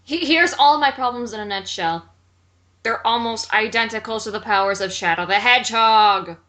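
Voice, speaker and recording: A woman's voice: a little high, moderate pace, pushed and bright. A woman co-commentating casually, in a sarcastic and challenging manner.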